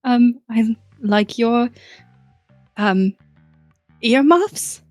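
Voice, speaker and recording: A woman plays a timid young adventurer girl in a tabletop game. She speaks higher than her usual voice, slow and hesitant with soft fillers, in a dry close sound with faint music behind. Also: no echo. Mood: shy.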